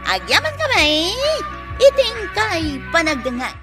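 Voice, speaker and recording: A woman with an Ilocano accent announcing a radio drama in a theatrical, emphatic, drawn-out way. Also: extremely high pitch, wildly swooping pitch. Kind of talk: theatrical scripted radio introduction. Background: music.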